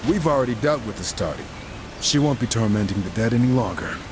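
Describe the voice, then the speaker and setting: A man's voice: on the low side, expressive, fairly quick, background noise, close to an everyday voice. An actor playing a cocky, wisecracking young male horseman in a video game, sarcastic and flippant. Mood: dry. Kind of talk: video game cutscene acting.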